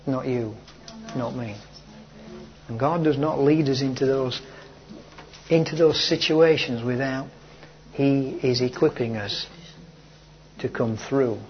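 A man preaching a sermon to his congregation, speaking slowly and emphatically with repeated phrases, while he is losing his voice. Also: medium pitch, lo-fi sound. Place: church hall with a congregation.

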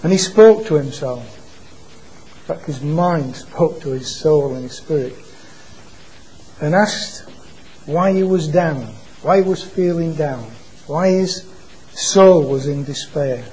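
A man gives an informal church sermon in a naturally quiet voice. His tone is mellow and a little higher than usual, and he often stops and restarts his words. Faint room ambience sits behind him. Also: a little hiss or hum, low-quality recording. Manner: halting, repeats and restarts words. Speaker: preacher. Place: church meeting. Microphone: microphone being adjusted.

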